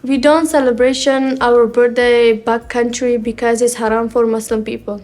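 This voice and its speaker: A teenage girl from Afghanistan speaks English, her voice on the higher side. She talks in short, halting learner phrases and pauses often. Soft music plays faintly behind her. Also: level tone. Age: teens. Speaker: student. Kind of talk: personal testimony.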